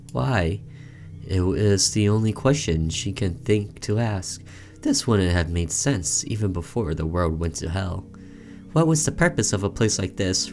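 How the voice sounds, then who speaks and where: A man's voice: low-pitched, darkish tone, slight background noise. A man doing let's play commentary, reading a visual novel aloud theatrically and breaking off for offhand asides.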